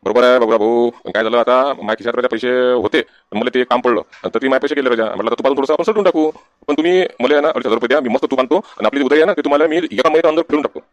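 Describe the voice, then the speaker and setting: A man's voice: medium pitch, very fast, no echo, dull audio, close to an everyday voice. A man voicing a wily, wheedling village debtor in a comic cartoon, with a rural Varhadi Marathi accent and questioning grunts tacked onto sentences. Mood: pleading, wheedling. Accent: rural Maharashtra, Varhadi. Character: wily village husband, evasive debtor sweet-talking the shopkeeper.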